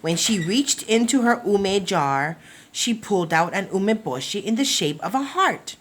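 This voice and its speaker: A woman reading a children's picture book aloud as a storytime reader, in an expressive, deliberate storytelling manner. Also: mid-range voice.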